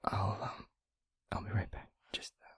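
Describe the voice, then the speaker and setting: A man playing a gentle, concerned vampire boyfriend in an intimate audio roleplay, speaking softly and haltingly with a breathy, husky voice. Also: dry recording, in a whisper, close to an everyday voice. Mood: worried, flustered. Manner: soft, hesitant, halting, reassuring.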